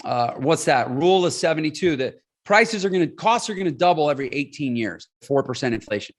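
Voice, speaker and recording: Forties guy, pretty high voice, pushy emphatic podcast guest, keeps saying right. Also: expressive, fairly quick. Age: 40s. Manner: emphatic, explains with figures, asks "right?" often. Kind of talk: casual interview talk.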